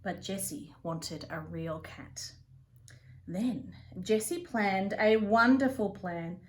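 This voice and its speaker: A woman reading a children's picture book aloud as a storyteller, with an expressive, deliberate delivery. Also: medium pitch, slight background noise. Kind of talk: read-aloud for young children.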